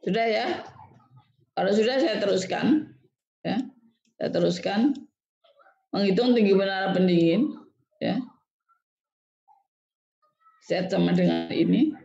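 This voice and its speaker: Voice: mid-range voice, slow, gravelly, pauses often, darkish tone. A lecturer explaining technical material step by step to students, repeating points and often checking in with a short tag word. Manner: repetitive, checks in with a tag word after statements. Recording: dull, echoey lo-fi room recording.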